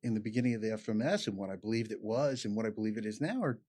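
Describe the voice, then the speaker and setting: A man, a chiropractor chatting casually on a podcast, animated and peppering his talk with "you know". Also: fairly low pitch, very fast. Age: 50s. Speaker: chiropractor guest.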